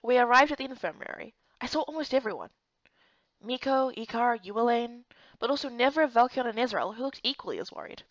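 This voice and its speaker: A woman giving expressive first-person scripted narration of a fantasy story. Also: a little high, a little fast, dry recording, highs cut off. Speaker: narrator and voice actor for a visual novel playthrough.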